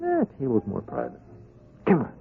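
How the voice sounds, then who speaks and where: An animated, wheedling young American hustler. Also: male voice, mid-range voice, normal speed, gravelly, narrow, tinny audio, slight background noise. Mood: coaxing. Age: young adult. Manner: slangy, hesitant, nudging, tags phrases with "huh". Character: eager, wheedling young small-time hustler in his twenties. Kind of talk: old-time radio drama acting. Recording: band-limited radio drama with music.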